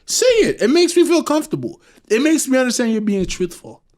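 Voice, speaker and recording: A man's voice: very high-pitched, fairly quick. A tipsy man with an American accent, co-hosting a podcast in casual chat and telling stories with laughter. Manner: animated, laughing storyteller. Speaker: podcast co-host.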